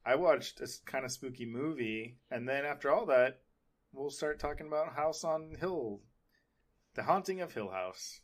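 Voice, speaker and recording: A man's voice: mid-range voice, animated, moderate pace. A man hosting a podcast, chatting casually about film and TV in a rambling, hesitant way. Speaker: podcast host.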